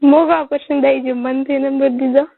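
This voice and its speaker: A woman's voice: high voice, steady tone, normal speed, gravelly. A woman with a Marwari accent in a casual private phone chat, on a muffled call recording. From Rajasthan, India. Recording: muffled phone recording, faint noise, clicks.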